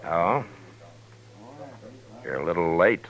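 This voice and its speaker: An actor playing a steady, firm frontier marshal who speaks in a low, measured, understated way in a 1950s radio drama. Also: male voice, exaggerated voice. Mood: probing. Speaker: lead and narrator. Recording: lo-fi old radio broadcast with music.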